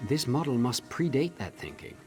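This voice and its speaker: An actor playing an eager, articulate tinkerer-scholar in a fantasy video game, speaking theatrically. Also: male voice, mid-range voice, big pitch swings, moderate pace, darkish tone, faint music behind. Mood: lecturing. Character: eager male tinkerer-scholar, enthusiastic about his research.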